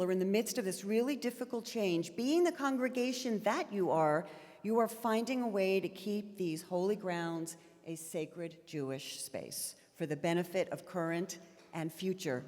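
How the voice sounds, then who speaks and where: A woman in her fifties from Miami giving an earnest, emphatic speech to a congregation, with heartfelt pauses. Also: mid-range voice, darkish tone. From Miami, Florida. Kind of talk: prepared speech from a stage. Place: large sanctuary with long reverb. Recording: reverberant sanctuary address.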